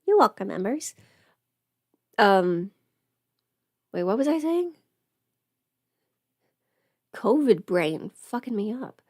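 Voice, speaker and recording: A woman's voice: medium pitch, very animated, slow, long pauses, a little mellow, no room sound. Dry-sounding woman, rambling casual livestreamer. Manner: rambling, self-interrupting, thinking aloud. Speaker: livestreamer chatting with viewers. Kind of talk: casual get-ready-with-me livestream chat.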